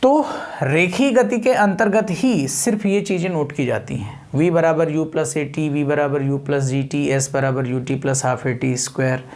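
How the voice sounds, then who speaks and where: A man's voice: a little high, darkish tone. Man teaching like a coaching instructor. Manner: explanatory, repeats key terms and poses rhetorical questions; code-switches into English technical terms. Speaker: physics teacher. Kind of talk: exam coaching lecture with everyday examples.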